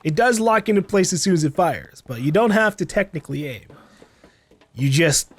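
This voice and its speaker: A man's voice: fairly high pitch, expressive, normal speed, breathy edge, no room sound. A man giving casual let's play commentary, playfully rambling and thinking aloud as he goes. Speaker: gaming video host. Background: faint game sounds.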